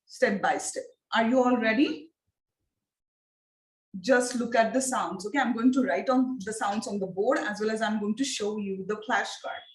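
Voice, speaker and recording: A woman with an Indian accent leading an online phonics training for teachers, speaking deliberately and repeating her questions to the trainees. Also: on the high side, roomy sound, poor audio. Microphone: video call.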